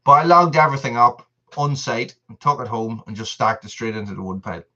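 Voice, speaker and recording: A man's voice: mid-range voice, steady tone, a little fast, bright, forceful tone, lo-fi sound. A man, hosting a casual live stream and chatting to viewers. He rambles, repeats himself and laughs often. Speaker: channel host on a live stream.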